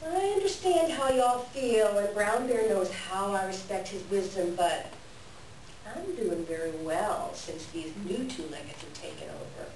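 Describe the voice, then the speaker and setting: A woman's voice: mid-range voice, animated, a little slow, darkish tone, lightly acted. A woman playing a sly, selfish coyote trickster in a staged folktale reading, with folksy, boastful, wheedling talk. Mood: smug, boastful. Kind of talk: staged reader's theater. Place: live reading in a library room. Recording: echoey room, distant mic, background noise.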